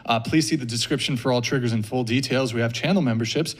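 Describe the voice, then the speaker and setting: A man hosting a podcast, running through show announcements briskly with deadpan jokes. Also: mid-range voice. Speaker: podcast host. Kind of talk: rapid-fire show announcements.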